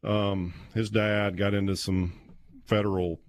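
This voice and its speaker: A low-pitched man. He talks like a radio guest swapping old stories, taking his time and pausing as he goes. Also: little pitch movement, no room sound. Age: 50s. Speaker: guest, former college quarterback. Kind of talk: casual sports radio storytelling. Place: small padded radio studio. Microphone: close studio microphone with headset.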